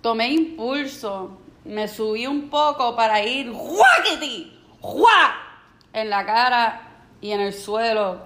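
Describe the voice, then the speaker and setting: Chatty Puerto Rican woman vlogger. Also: medium pitch. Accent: Puerto Rican Spanish. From Puerto Rico. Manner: animated storytelling, mixing in English phrases. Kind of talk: casual monologue.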